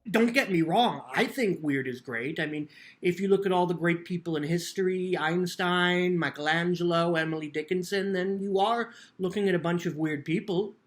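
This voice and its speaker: A man's voice: high voice, normal speed, close to an everyday voice. A man playing an earnest, lonely teenage boy who tells his own story in plainspoken, cheeky words. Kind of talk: first-person dramatic book reading.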